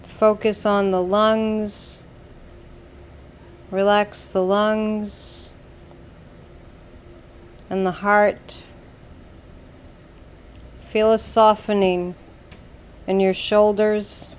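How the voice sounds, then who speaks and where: A woman with an American accent leads a guided meditation, giving calm, soothing instructions in a deliberate way with long pauses. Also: mid-range voice, a little hiss or hum. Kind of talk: slow guided meditation narration.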